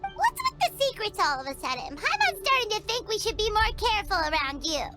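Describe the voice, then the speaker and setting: A woman's voice: very high voice, very animated, a little fast, music in the background. A woman voicing a tiny, perky, nosy fairy companion in a fantasy game, cute and chirpy like a cartoon. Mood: wary. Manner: cute, chirpy, refers to herself in third person.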